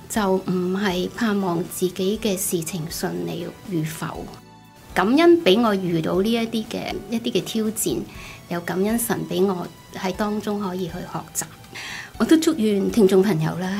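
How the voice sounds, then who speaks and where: A middle-aged woman with a Hong Kong Cantonese accent, giving a personal testimony in an expressive, conversational storytelling way. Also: medium pitch, creaky. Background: background music.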